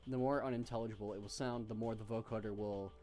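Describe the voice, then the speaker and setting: A man giving an informal, offhand software tutorial with slangy asides. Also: lowish voice, fairly even intonation, fast talker. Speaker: tutorial host. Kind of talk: casual software tutorial narration.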